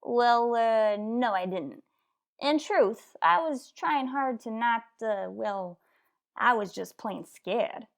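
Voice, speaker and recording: A woman's voice: on the high side, expressive, slow. A woman voicing a nervous, chatty young newsboy witness who talks in eager, folksy street slang in a radio drama. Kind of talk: old-time radio drama acting.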